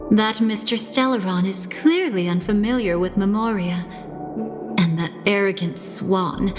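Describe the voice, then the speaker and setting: A woman playing a calm, knowing, mysterious young woman in a video game, speaking in a measured, cryptic way. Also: medium pitch, lightly acted. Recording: long reverb, game music behind.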